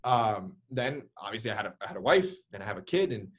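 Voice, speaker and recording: A man's voice: lowish voice, sing-song, quick pace. A man in his early twenties, speaking as an interview guest over a video call, telling his own story energetically with many "like"s and "right?"s. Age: early 20s. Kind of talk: candid storytelling.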